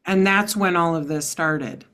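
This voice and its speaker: A woman's voice: lowish voice, unhurried, fairly bright tone, slight background noise. A woman casually sharing her own experience in an online group discussion. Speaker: webinar participant. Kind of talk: casual personal account.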